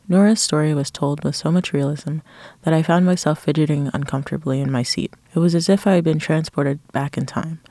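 A man's voice: fairly high pitch, fairly even intonation, a little fast, mellow, no room sound. A man from Nigeria reading a scripted college news story, moving into a reflective first-person account. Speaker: student reporter.

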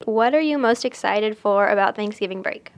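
A woman's voice: medium pitch, normal speed, no room sound. A woman reporter narrating a scripted segment and asking students the same question. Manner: plain, read-from-script delivery.

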